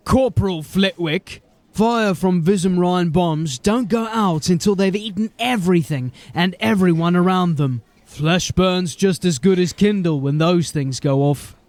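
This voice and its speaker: High-pitched, stern watch sergeant. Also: male voice, unhurried, darkish tone, no room sound. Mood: grim. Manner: curt, commanding. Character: stern, hard-bitten male police sergeant in a fantasy city. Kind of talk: dramatic audio drama acting.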